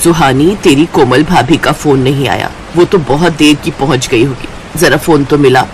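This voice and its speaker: A woman voicing a worried, gently scolding older mother-in-law in a cartoon story. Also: low voice, normal speed, a little hiss or hum, lightly acted, poor audio. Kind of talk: Hindi cartoon story voice acting.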